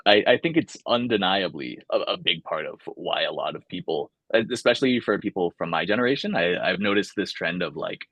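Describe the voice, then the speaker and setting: A man's voice: deep voice, expressive, brisk, hardly any pauses, a bit bright, no room sound, highs cut off. A young man from California, talking casually and thoughtfully in an oral history interview, with many fillers and restarts.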